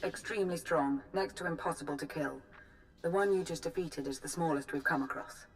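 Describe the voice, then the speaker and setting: A coolly confident adviser in a science fiction game. Her voice sits fairly low, and she briefs in a measured, matter-of-fact way. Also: poor audio. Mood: calm, grave. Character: composed, coolly confident female military adviser. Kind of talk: video game cutscene acting.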